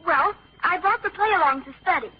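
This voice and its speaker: Very high-pitched affected teenage girl. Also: a little fast. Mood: self-important. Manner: affected, theatrical. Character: affected, coquettish teenage girl fancying herself an actress. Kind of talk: old-time radio drama acting. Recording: dull, faintly noisy old radio recording.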